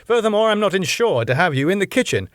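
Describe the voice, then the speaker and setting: A pompous older British club owner, as a man puts him on, fussy and given to theatrical protest. His voice swings up and down with lively pitch, and the sound is dry and close. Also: fast, no room sound. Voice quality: pompous, dramatic outbursts.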